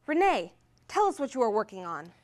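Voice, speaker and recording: High-pitched peppy woman reporter. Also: sing-song, brisk. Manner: exaggerated, peppy reporter delivery. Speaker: field reporter in a college's comedic promo newscast. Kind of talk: mock TV news report, scripted.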